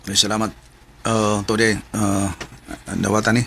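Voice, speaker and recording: A man's voice: on the low side, flat delivery, normal speed, vocal fry, bright, forceful tone, a little hiss or hum. A man playing a reserved, courteous young suitor in a Filipino radio drama, with a Cebuano accent. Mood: courteous, hesitant.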